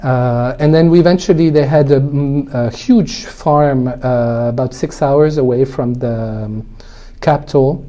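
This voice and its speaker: A man with a French accent, a French native speaker, telling his life story in a relaxed interview, hesitating often and laughing easily. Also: mid-range voice, measured pace. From born in El Salvador, raised abroad. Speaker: winemaker guest.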